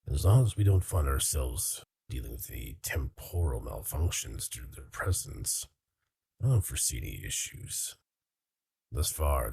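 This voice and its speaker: A man playing a measured, caring starship crew member in a scripted sci-fi skit, speaking formally and earnestly. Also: extremely low pitch, creaky, mellow, husky, no room sound, full character voice. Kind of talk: scripted sci-fi log narration in an audio skit.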